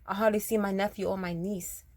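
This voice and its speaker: A woman with a naturally raspy voice, a little rougher than usual, speaking earnestly to her listeners and reciting her own spoken word poem. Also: medium pitch, level tone, a little fast, darkish tone, dry recording. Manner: earnest, direct, addresses listeners as y'all. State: raspier than usual, throat slightly rough.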